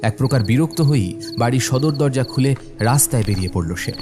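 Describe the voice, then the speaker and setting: A man's voice: medium pitch, lively intonation, fairly quick, music in the background. A man narrating a scripted horror cartoon as a dramatic storyteller.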